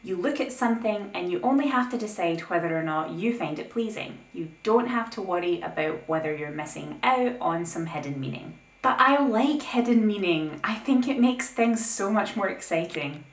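A young woman with a somewhat low voice narrates a scripted art lesson, breaking in now and then with her own opinions. The sound is roomy, with a noticeable echo off the walls of an indoor space. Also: normal speed, few pauses. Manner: opinionated, lively asides between explanations. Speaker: art video host. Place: plain indoor room.

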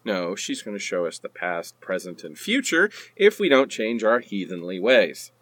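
Man playing animated, sarcastic, needy boyfriend. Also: lowish voice, normal speed, no room sound. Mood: sardonic. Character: pleading, sarcastic male partner, adult. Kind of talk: comedic radio play acting.

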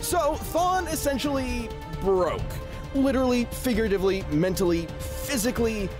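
A man's voice: very high-pitched, expressive, normal speed. A man reading scripted explainer narration as a show co-host, in a precise, lecturing, nerdy manner. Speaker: co-host of a versus analysis show. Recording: polished studio voice-over with music behind.

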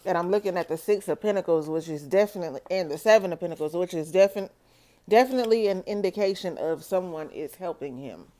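A woman doing a solo tarot reading for her viewers, talking slowly and checking in with "okay?". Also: fairly low pitch, warm tone, poor audio. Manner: slow, measured, repeats "okay?" to viewers. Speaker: tarot reader.

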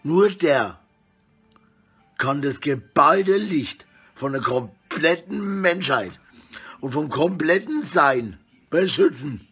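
A middle-aged man with a husky voice rambles casually and coarsely in slang, talking to his phone at arm's length indoors. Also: a little high, lively intonation, takes it slowly, a bit bright, faint music behind. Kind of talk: rambling casual selfie monologue. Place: small indoor room at home.

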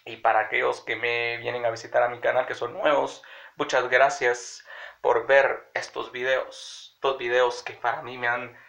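A man's voice: medium pitch, flat delivery, normal speed, creaky, fairly bright tone. A young man in his early twenties with a cold, chatting rambly to his phone camera in a small room at home. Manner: rambling, chatty, addressing viewers directly. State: sick with a cold, congested. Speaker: vlogger. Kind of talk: casual vlog to camera. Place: small room in a house, indoors. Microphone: phone camera at arm's length. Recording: dull lo-fi phone audio.

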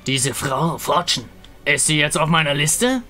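A man's voice: a little high, lively intonation, a little slow, pushed and bright, faint music behind. A man voicing a grim undead killer in a fantasy game, blunt, menacing and obsessive. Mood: grim, insistent.

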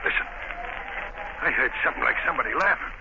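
A man's voice: mid-range voice, lively intonation, fast, vocal fry, over a noisy crowd. An actor playing an impatient, jumpy gang henchman with slangy talk, in 1930s radio style. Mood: impatient, nervy. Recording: very noisy, muffled 1930s radio recording.

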